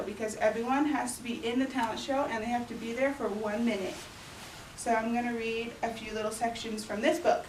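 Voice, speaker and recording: A woman's voice: on the high side, a little hiss or hum. A young woman giving a booktalk to a class of young children, reading story passages aloud and acting out the quoted lines. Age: young adult. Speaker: student librarian giving a booktalk. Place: elementary school classroom. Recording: echoey classroom from far off.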